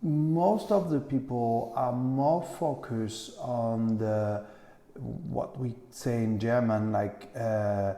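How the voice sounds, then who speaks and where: A middle-aged man with a French accent chatting as an interview guest, speaking non-native English haltingly and searching for words. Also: mid-range voice, warm tone. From Grenoble, France. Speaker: interview guest, cheese shop owner. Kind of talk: casual conversational interview. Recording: echoey room with long reverb.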